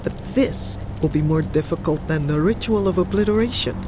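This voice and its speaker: A woman's voice: low voice, normal speed, noisy background, close to an everyday voice. A woman playing an imperious, scheming older master mage in an audio drama. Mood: probing, stern. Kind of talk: audio drama character acting.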